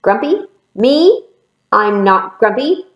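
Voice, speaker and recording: A woman's voice: a little high, very animated, takes it slowly, reverberant, dull audio. A woman voicing a grumpy male chimpanzee in stubborn denial, emphatic and indignant, for a storybook.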